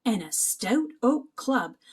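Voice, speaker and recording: A woman's voice: fairly high pitch, very animated, takes it slowly, slightly rough, full character voice. A woman voicing a friendly young male mouse in a storybook, cheerfully welcoming and explaining things.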